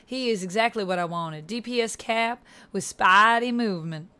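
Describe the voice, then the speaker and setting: A woman's voice: mid-range voice, moderate pace, sharp tone, clean tone. A woman hosting a livestream, chatting casually with viewers in a put-on Georgia-style Southern US accent. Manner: chatty, addresses viewers by name. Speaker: livestream host.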